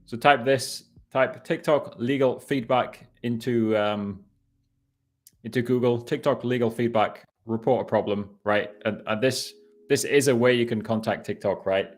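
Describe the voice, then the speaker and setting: A man's voice: mid-range voice, fairly even intonation, unhurried. A man in his thirties with a northeast English accent, hosting a casual live question-and-answer stream and rambling with frequent laughs and self-corrections. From northeast England. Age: 30s. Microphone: headphones on.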